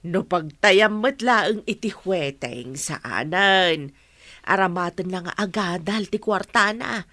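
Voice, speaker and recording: A woman's voice: mid-range voice, lively intonation, takes it slowly, bright, forceful tone, dry recording. An actress playing a caring, principled village mother with a grown son in a radio drama. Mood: chiding. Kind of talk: Philippine radio drama acting.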